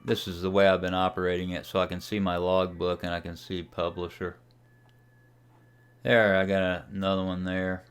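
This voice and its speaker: A man's voice: low voice, completely flat delivery, normal speed, smooth. A man giving a casual, unscripted screen-share tutorial as a radio hobbyist, thinking aloud as he operates. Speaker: amateur radio hobbyist demonstrating software.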